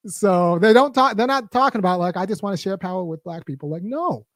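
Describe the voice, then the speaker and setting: A woman hosting a casual political chat, talking fast and animated and often laughing. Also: medium pitch, dry recording, studio quality. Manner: animated, laughing, acts out quotes. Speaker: podcast host.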